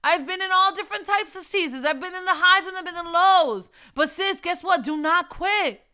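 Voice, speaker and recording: High, bright-voiced fervent young woman. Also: moderate pace. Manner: fervent, repetitive exhortations and rhetorical questions; shifts between soft reflective testimony and loud urging. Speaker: mother giving a faith talk. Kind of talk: informal preaching to women.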